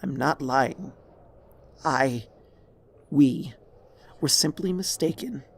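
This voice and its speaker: Man voicing a raspy, dying old mare, full of regret. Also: medium pitch, a little mellow, pitched above the performer's own voice, full character voice. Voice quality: raspy, husky, creaky. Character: dying, regretful older mare, remorseful former tyrant pony.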